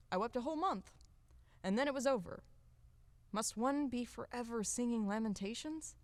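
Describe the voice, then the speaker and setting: A woman's voice: mid-range voice, no room sound, lightly acted. Woman playing a fussy servant, close dry sound. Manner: coaxing, chiding, deferential. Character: fussy, plain-spoken, devoted old household servant. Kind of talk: audio play acting of a classic stage comedy.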